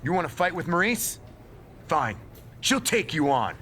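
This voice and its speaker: A blustering male wrestler, confronts his rival in a high, forceful voice. His theatrical, indignant delivery swings wildly up and down, in video game style. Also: big pitch swings, a little slow, slight background noise, heavily acted. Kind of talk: scripted video game cutscene acting.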